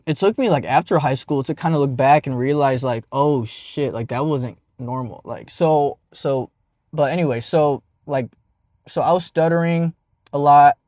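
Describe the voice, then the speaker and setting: A man's voice: a little high, normal speed, a little mellow, no room sound, clean studio sound. A young man with a stutter telling a casual personal story as a vlogger, with many fillers and restarts.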